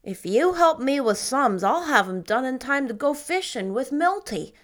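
A woman plays a sulky ten-year-old country boy in a storybook, louder and a little higher than a plain reading voice. He grumbles in blunt, homely words. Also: big pitch swings, normal speed. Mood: brightened. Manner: sulky, plainspoken, stumbles over big words.

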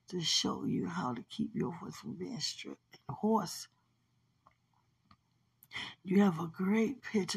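A husky woman minister delivering a slow prophetic word to a singer. She drifts between firm, raised exhortation and soft whispers, sounding drowsy as she speaks. Her delivery is unhurried and deliberate. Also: medium pitch. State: drowsy, dozing off.

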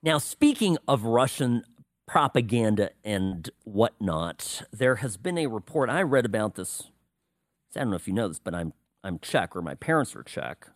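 An American man hosts a political radio show, talking alone in a sarcastic, chatty way with little confiding asides. His pitch swings widely as he talks. The booth sound is close and dry. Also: big pitch swings, moderate pace, no echo. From United States. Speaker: radio talk show host. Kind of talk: political talk radio monologue.

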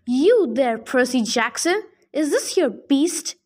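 A woman's voice: high-pitched, very animated, slow, a little mellow, exaggerated voice. A woman voicing a fat old male satyr who is pompous, fussy and easily frightened, stammering when nervous. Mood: indignant.

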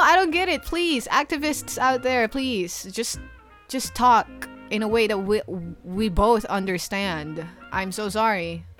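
A woman's voice: on the high side, fairly bright tone. A young woman from the Philippines hosting her own podcast, talking in a chatty, rambling way with lots of fillers and restarts. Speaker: podcast host. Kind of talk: solo podcast monologue.

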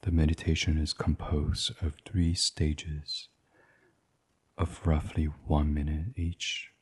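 A man gently and soothingly reading a guided sleep meditation in a breathy, husky voice, as the host. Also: very deep voice, flat delivery, slow.

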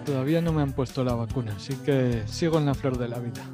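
A man with a Basque Spanish accent hosting a casual interview podcast, self-deprecating and rambling with pauses. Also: mid-range voice, over loud music. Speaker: podcast host.

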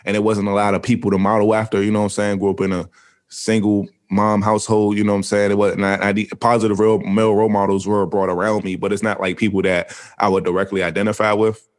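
Low-voiced animated podcast co-host man. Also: fast talker. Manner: animated, rambling, laughs often. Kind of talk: casual podcast chat.

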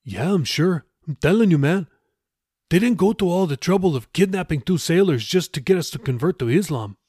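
Man playing a steadfast young sailor, husky voice. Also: fairly high pitch, lively intonation, fairly quick, darkish tone, no echo, studio quality, voice pushed up. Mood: earnest protest. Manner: earnest, reasoning, slangy. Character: steadfast, reformed tough young male sailor.

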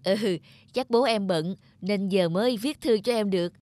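An actor voicing a dutiful young rural postman in an animated folk tale, gentle, polite and reassuring. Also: mid-range voice, normal speed, no room sound, clean studio sound, lightly acted.

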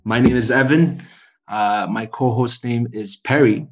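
A man's voice: mid-range voice, unhurried. Male podcast host in sports banter. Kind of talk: casual podcast chat.

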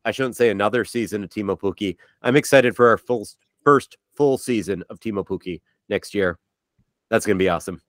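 A man's voice: lowish voice, no room sound. A man co-hosting a casual sports podcast, talking with wry, dry humor. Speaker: podcast co-host.

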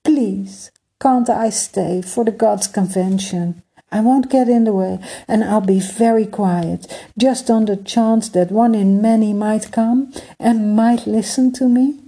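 Woman doing an earnest little prince, pitched up, stumbling over his words, slow. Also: steady tone, mellow, husky. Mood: eager, pleading. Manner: eager, pleading, stammering. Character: eager, earnest young boy prince. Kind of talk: storybook read-aloud.

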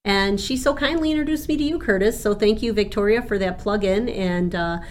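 A woman from Argentina whose first language is Spanish, an insurance agent talking as a guest in a lively, chatty way and laughing easily. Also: mid-range voice, fairly quick, slight background noise. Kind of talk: interview guest, chatty and upbeat.

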